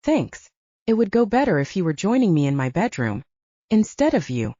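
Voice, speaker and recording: A woman narrator plays a settled, sincere male werewolf in an audiobook. He talks with dry amusement in a soft, mellow tone and lively pitch, on a slightly muffled recording. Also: normal speed, no room sound. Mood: wry, sincere.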